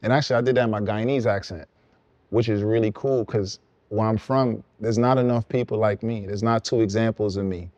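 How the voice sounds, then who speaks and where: A young man from Brooklyn, a songwriter guest, explaining his path in relaxed, reflective casual conversation. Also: lowish voice, fairly quick, dry recording, muffled recording. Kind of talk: casual podcast chat.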